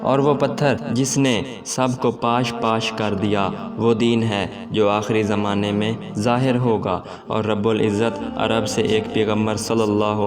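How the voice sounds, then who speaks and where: Low, even-toned male story narrator over music. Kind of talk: scripted religious story narration in Urdu storytelling manner.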